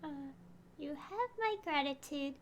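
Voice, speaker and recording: A woman's voice: high-pitched, lively intonation, unhurried. A woman streaming a video game, casually reading out dialogue and reacting to it. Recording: noisy stream with game audio and clicks.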